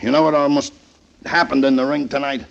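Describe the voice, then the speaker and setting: An actor playing a dim, gentle-hearted, sulky young prizefighter with a raspy voice in a 1940s radio drama, speaking plaintively in rough grammar. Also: male voice, mid-range voice, level tone, a little fast, close to an everyday voice. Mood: anxious, plaintive. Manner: simple, plaintive, ungrammatical speech. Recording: muffled old 1940s radio recording.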